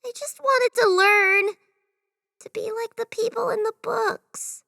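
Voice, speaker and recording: A woman's voice: very high-pitched, fairly even intonation, takes it slowly, creaky, bright, forceful tone, dry recording, studio quality, full character voice. A woman playing a timid, earnest young girl who hopes to become an apprentice, speaking in a hesitant, meek way. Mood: earnest, vulnerable.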